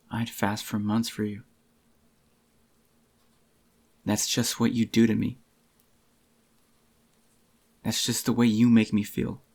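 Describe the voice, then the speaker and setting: Gravelly soft-spoken possessive boyfriend, acted by a man. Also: lowish voice. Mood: obsessive devotion. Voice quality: groggy, gravelly. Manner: tender, slow reassuring endearments to a lover. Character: possessive, devoted yandere boyfriend, tender and obsessive. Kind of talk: soft-spoken ASMR roleplay, intimate personal attention.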